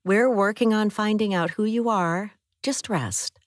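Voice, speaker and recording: A woman reading a firm, brisk female nurse in a hospital. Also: medium pitch, pitched above the performer's own voice, close to an everyday voice. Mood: clinical.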